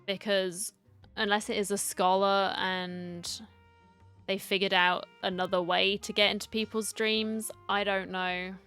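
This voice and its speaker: Clear-voiced woman reacting to a game. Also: medium pitch, flat delivery, unhurried, fairly bright tone, faint music behind. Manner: musing aloud, talking back to game characters. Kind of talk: casual reaction commentary.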